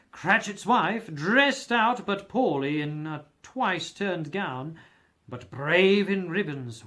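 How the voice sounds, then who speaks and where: A male audiobook narrator with a high voice for a man. He reads a scripted story theatrically, with expressive phrasing and widely swinging pitch.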